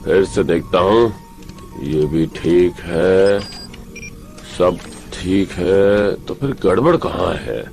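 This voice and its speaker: A man's voice: deep voice, expressive, takes it slowly, creaky, darkish tone, soft background music, heavily acted. A man voicing a kindly, absent-minded middle-aged inventor in a cartoon, muttering as he muses to himself. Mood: puzzled, musing. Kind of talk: Hindi-dubbed cartoon voice acting.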